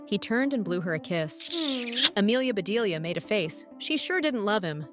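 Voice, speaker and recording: A woman narrating a children's story as a teacher would, expressive and clearly phrased for young listeners. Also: mid-range voice, moderate pace, music in the background. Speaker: read-aloud teacher and storyteller. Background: sound effects and noise.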